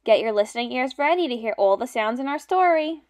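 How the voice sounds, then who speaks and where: A young woman teaching and reading aloud to small children in simple, deliberate phrases. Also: fairly high pitch, lively intonation, a little mellow. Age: young adult. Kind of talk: children's read-aloud lesson.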